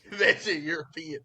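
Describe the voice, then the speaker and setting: Casual, slangy man chatting on a podcast. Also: fairly high pitch. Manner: slangy, profane, excitable. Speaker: podcast host. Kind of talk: casual pop culture chat.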